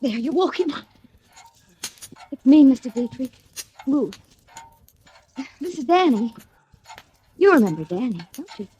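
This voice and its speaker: A woman's voice: high-pitched, big pitch swings, unhurried, long pauses, mellow, breathy edge, lo-fi sound, exaggerated voice. An actress playing a frightened woman in love with a fugitive, pleading anxiously in 1940s radio thriller style on a noisy old recording.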